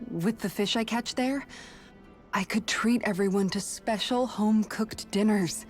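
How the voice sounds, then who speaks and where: An actress playing a gentle, caring young woman in game dialogue, speaking softly and thoughtfully over faint music. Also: medium pitch, natural voice.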